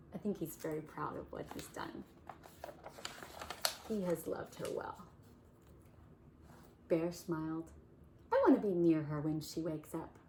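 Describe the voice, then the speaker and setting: Woman storytime reader, low-pitched, unhurried, soft questions to children, faint noise in the recording. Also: rough recording. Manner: gentle, asks the child questions about the pictures. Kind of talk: storytime read-aloud for kids.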